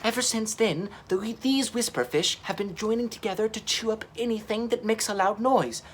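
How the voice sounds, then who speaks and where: A man voicing a plucky, clever and caring female dinosaur crewmate from a children's storybook, earnest and expressive. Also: high voice, moderate pace, a little hiss or hum, pitched above the performer's own voice, close to an everyday voice. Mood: explaining. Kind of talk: children's storybook character reading.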